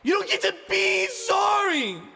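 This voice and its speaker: A woman's voice: very high-pitched, very animated, measured pace, gravelly, bright, forceful tone, long reverb tail, voice pushed up, heavily acted. A man voicing a furious, vengeful young woman mechanist who screams her accusations. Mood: screaming.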